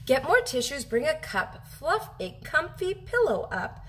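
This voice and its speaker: A woman in her thirties reading a children's picture book aloud as a teacher, animated and expressive, drawing out her phrases for young listeners. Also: sits high, unhurried, background noise. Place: home kitchen, indoors.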